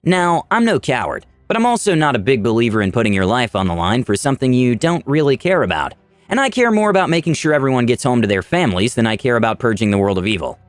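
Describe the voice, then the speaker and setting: Expressive male storyteller, very lively pitch. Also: fast, no room sound. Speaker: narrator of a storytelling channel. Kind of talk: dramatic scripted story narration. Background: music.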